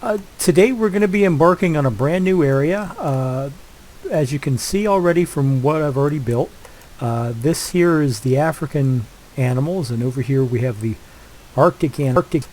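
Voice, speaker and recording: An older man with a head cold narrates his gameplay in a mellow, congested voice. He rambles casually, circling back with fillers and restarts. A faint hiss sits under the recording. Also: a little high, normal speed, low-quality recording. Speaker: let's play host.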